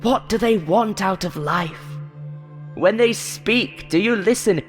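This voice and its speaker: A woman voicing a monstrous game show host in a horror story, falsely friendly and hateful, with a taunting, showy, drawn-out delivery. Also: medium pitch, big-room sound, music in the background, pitched above the performer's own voice, close to an everyday voice. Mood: taunting, menacing.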